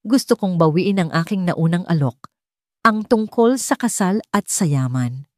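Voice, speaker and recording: An earnest, tender Filipino father in a story drama, voiced by a woman narrator. He speaks sincerely and deliberately, with a mellow tone in a dry, close recording. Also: fairly low pitch, expressive, dry recording. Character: earnest, tender adult man, wealthy devoted father.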